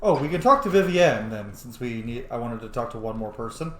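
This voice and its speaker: A man's voice: medium pitch, a little fast. A man streaming a video game with casual, offhand and sometimes profane commentary. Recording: echoey room with faint noise.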